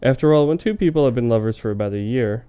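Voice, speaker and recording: A man's voice: mid-range voice, expressive, fast, noisy background. A man reading fan fiction on a comedy show in a hammy, mock-dramatic way, with casual, amused asides. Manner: hammy, amused, breaking off to comment.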